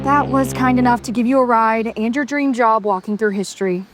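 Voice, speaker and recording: Female actor as dry young woman, slightly high pitch, understated, guarded, radio drama, clear music underneath. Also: fairly even intonation, fairly quick, lightly acted. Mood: dry, resolved. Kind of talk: audio drama acting.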